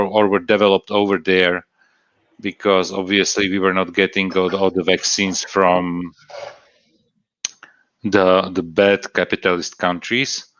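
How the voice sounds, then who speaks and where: Man, low voice, hesitant, lots of ums, dry lo-fi stream sound. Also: a bit bright, clean tone, no room sound. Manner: hesitant, many fillers and restarts. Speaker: co-host answering chat questions. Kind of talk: casual stream chat. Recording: dull lo-fi stream audio.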